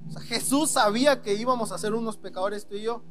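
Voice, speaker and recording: A man's voice: sits high, fast, over music. A man with a Mexican Spanish accent preaching a sermon, talking in a casual way and acting out prayers and God's replies. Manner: conversational preaching with dramatic quoted prayers. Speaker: pastor.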